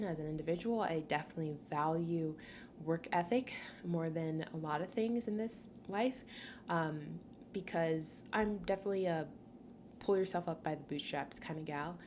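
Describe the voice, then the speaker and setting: A woman in her twenties, from Cameroon, hosting a solo podcast in a chatty, upbeat way, laughing often and filling pauses with ums. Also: low-pitched, slight background noise. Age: 20s. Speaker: podcast host.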